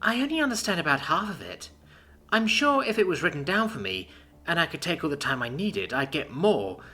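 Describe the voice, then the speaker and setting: A man voicing an earnest young adult woman who speaks many languages, in a soft, high voice. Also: very animated, a little fast, exaggerated voice.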